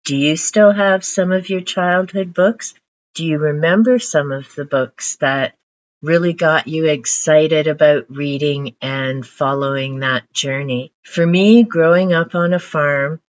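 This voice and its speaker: An older woman whose first language is Hungarian, reflecting on her favourite books in a casual vlog to camera. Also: low voice. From Canada. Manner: reflective, unhurried storytelling. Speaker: book vlogger. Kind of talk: casual book talk to camera. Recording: muffled lo-fi audio.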